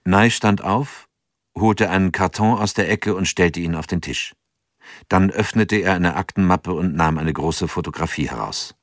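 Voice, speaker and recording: A man narrating a radio drama in a calm, measured way. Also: deep voice, no echo, studio quality. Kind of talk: scripted narration.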